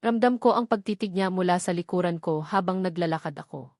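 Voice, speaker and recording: A woman's voice: fairly low pitch, fairly quick, a little mellow, dry recording, clean studio sound. A woman reading a dramatic first-person story as an audiobook narrator, in a scripted style.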